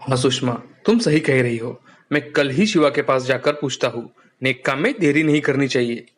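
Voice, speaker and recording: A man's voice: mid-range voice, normal speed, natural voice. A man playing a friendly, hardworking father who drives a rickshaw in a storybook cartoon. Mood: thoughtful. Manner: polite, earnest.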